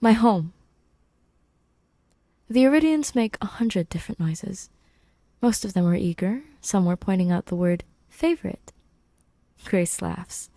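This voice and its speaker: A woman's voice: medium pitch, animated, normal speed, warm tone, no echo. A woman reading fan fiction aloud as a podfic narrator, in scripted storytelling style.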